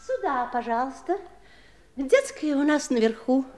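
A woman's voice: sits high, big pitch swings, measured pace. An actress playing a fussy, anxious-to-please mother in a 1960s Soviet radio play, gushing, hesitant and repeating herself. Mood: chatty, eager.

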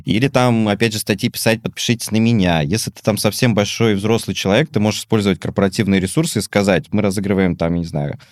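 A man talking in a quick, breezy and provocative way, a guest in a casual podcast chat. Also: on the low side, steady tone, dry recording, studio quality.

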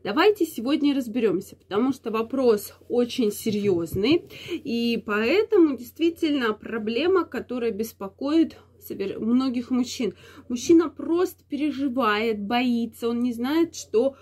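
A woman's voice: fairly high pitch, lively intonation, a little slow, a little mellow. A woman hosting an advice vlog, explaining things to viewers in a repetitive, emphatic way, her voice affected by a slight cold. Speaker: channel host giving advice. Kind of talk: explanatory talk to camera for viewers.

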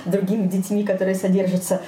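A woman's voice: medium pitch, little pitch movement, fast, mellow. A woman co-hosting a podcast in casual chat, informal and joking, with hesitant phrasing. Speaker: podcast co-host. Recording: roomy echo, faint background noise.

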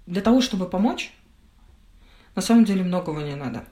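A young woman giving a candid personal account as a podcast guest, speaking in halting, reflective phrases. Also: on the low side, expressive, warm tone.

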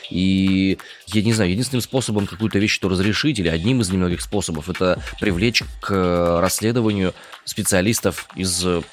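A man with a very low, deep voice co-hosts a news discussion show. He reads out stories and then reflects on them, thinking aloud with small hesitations. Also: a little fast, few pauses, a little hiss or hum.

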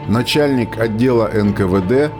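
A man's voice: on the low side, normal speed, music in the background. A man giving scripted dramatic narration for a radio play.

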